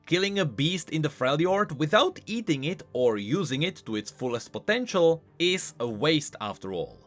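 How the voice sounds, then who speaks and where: A man giving scripted, explanatory commentary as a video creator covering game lore, in an animated manner. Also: a little high, normal speed, faint music behind.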